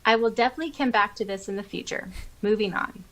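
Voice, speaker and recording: A woman co-hosting a podcast, reading listener comments aloud with expressive, giggly, chatty reactions. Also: mid-range voice, fast talker, low-quality recording. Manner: expressive, giggly, interjecting exclamations. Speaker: podcast co-host.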